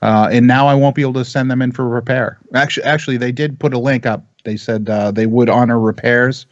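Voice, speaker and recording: A man's voice: medium pitch, fairly even intonation, a little fast, muffled recording. An American man talking casually and emphatically on a panel, repeating phrases as he makes his points. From United States. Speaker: vaping talk show panelist. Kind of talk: opinionated casual panel discussion.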